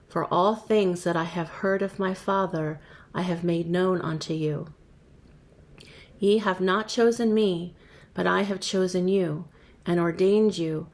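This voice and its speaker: A woman giving a measured, even reading of a long scripture passage. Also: lowish voice. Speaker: scripture reader.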